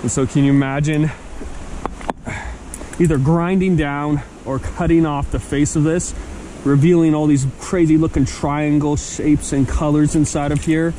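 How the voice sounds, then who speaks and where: A man's voice: a little high, steady tone, measured pace, dark tone. A young man vlogging outdoors on a forest riverbank, talking eagerly to a handheld camera about the rocks he finds. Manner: enthusiastic, chatty, talks to camera and his dog. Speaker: vlogger, rockhound. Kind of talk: casual outdoor vlog narration. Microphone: action camera held at arm's length. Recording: noisy outdoor camera audio.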